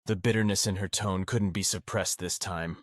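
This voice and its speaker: Casting: male fantasy audiobook narrator, fairly low voice, scripted storytelling, very dry sound. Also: steady tone, normal speed, no echo.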